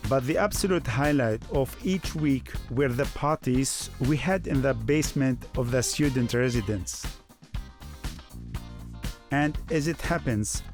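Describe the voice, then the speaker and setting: An actor playing a Syrian man looking back on his student years, narrating in a measured, reflective way. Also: medium pitch. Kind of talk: scripted first-person documentary narration.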